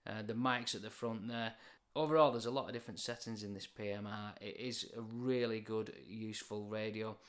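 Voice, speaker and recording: A man's voice: lowish voice, steady tone, fairly quick, hardly any pauses, fairly bright tone. British man giving a product demonstration. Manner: plain, matter-of-fact explaining. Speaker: product reviewer.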